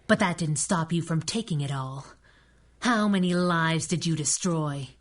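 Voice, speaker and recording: An actress playing a fiery masked heroine sidekick in a radio superhero drama, biting and righteous. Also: female voice, low-pitched, a little slow. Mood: righteous anger. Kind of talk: 1930s-set radio superhero drama acting.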